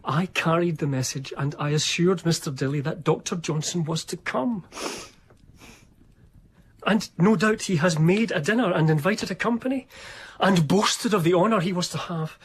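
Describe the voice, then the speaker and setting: An actor playing an eager, ingratiating gentleman, courteous and wordy, in a period radio drama. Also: on the high side, normal speed, slight background noise, lightly acted. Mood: pleading. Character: eager, ingratiating Scottish gentleman, self-satisfied narrator.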